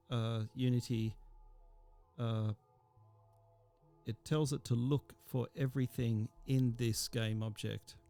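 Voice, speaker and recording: A man giving an informal software tutorial as a teacher, explaining each step as he goes. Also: mid-range voice, clear, resonant tone.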